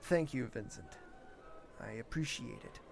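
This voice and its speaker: A slow-spoken, reserved young prince. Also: male voice, medium pitch, animated, gravelly, faint music behind. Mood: polite, reserved. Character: composed young prince, reserved, smitten and earnest. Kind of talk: audio drama acting.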